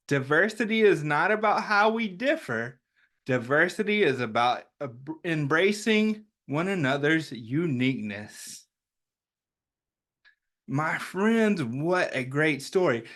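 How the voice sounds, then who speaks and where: Animated man hosting children's story time. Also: fairly high pitch. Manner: encouraging, simple child-directed talk. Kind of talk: read-aloud and chatty address to young children.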